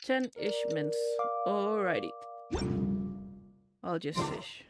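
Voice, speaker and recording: A woman's voice: on the low side, very animated, takes it slowly. A woman giving casual video game commentary as a streamer, muttering short offhand remarks to herself. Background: game music.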